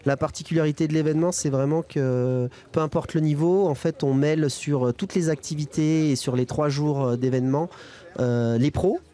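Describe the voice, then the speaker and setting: A man's voice: a little high, fairly even intonation, moderate pace, dark tone. A young man speaking casually as an interview guest, hesitant and colloquial, into a handheld microphone outdoors. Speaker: guest freeride skier. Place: outdoors at a snowy ski resort event. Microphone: handheld reporter microphone.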